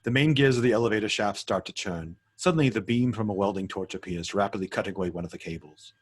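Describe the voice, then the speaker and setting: A man with a husky, fairly low voice narrates a script read-through. He reads stage directions matter-of-factly and sometimes trips on a word. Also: fast talker.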